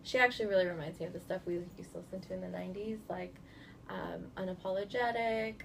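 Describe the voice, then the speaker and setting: Californian woman in her 30s, gravelly voice, loose chatty answers, noisy room behind. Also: on the low side, fast talker. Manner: relaxed, chatty, many fillers. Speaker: guest. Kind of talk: casual interview answers. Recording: noisy indoor recording.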